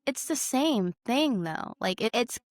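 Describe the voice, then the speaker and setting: A woman co-hosting a casual podcast, informal and animated as she tells things, acting out quoted asides. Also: on the high side, unhurried, no room sound, studio quality. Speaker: podcast co-host.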